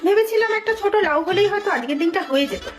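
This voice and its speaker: A woman voicing a young village mother and daughter-in-law in a cartoon, brisk, reproachful and easily offended. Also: very high voice, animated, music in the background. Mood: hurt, offended. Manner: reproachful, respectful to elders. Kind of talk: Bengali cartoon voice acting.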